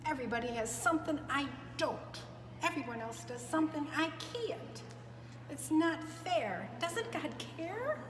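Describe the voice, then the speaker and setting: A woman's voice: high-pitched, expressive, unhurried, music in the background, voice pushed up. A woman voicing a big, sulky male storybook rhino who mutters and whines in self-pity. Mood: sulky, indignant. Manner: muttering, whining, groping for words. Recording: echoey reverberant room, clear background noise.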